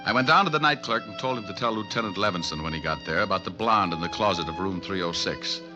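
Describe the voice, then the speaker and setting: An actor in an old radio crime drama playing a cocky, hard-boiled private detective with dry wisecracks. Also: male voice, low voice, animated, fast. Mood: narrating. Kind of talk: 1940s radio acting. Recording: old radio broadcast, music behind.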